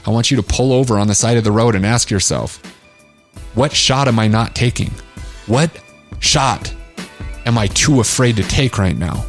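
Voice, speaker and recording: A man's voice: medium pitch, normal speed, faint music behind. A man hosting a motivational podcast, speaking in an emphatic, blunt and casually profane monologue. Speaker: podcast host, motivational coach.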